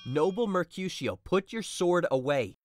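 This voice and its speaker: A man playing an earnest, peace-seeking young gentleman in an audio drama, with a pleading, sincere delivery. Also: high-pitched, expressive, unhurried, heavy background noise. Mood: urgent, pleading.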